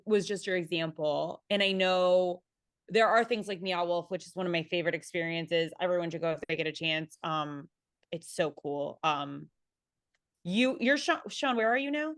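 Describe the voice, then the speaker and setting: A woman speaking casually as a theater panelist, with a halting, informal and self-deprecating way of talking. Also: lowish voice, dry recording, studio quality.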